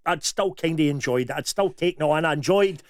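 A man's voice: a little high, quick pace, no echo. Blunt Scottish man, dry studio sound. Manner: blunt, lively banter. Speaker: radio co-host. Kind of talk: casual radio chat.

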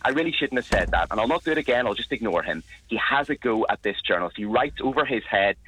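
A man, a journalist interviewed on radio, arguing his point forcefully and with indignation. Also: mid-range voice, animated, fast talker, a little hiss or hum, poor audio. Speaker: journalist guest.

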